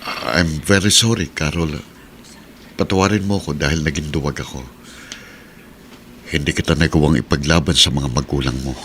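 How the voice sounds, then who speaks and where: A man's voice: extremely low pitch, fairly even intonation, moderate pace, pauses often, fairly bright tone, slight background noise. A Filipino man acting in a radio drama as an aggrieved, earnest husband. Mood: remorseful, earnest. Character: aggrieved, earnest husband longing for old sweetheart.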